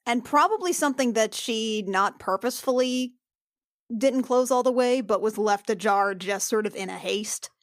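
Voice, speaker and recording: A woman's voice: a little high, moderate pace, no echo, studio quality. Casual woman player thinking aloud. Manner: hesitant, thinking aloud, informal. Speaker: tabletop game player. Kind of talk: casual improvised game talk.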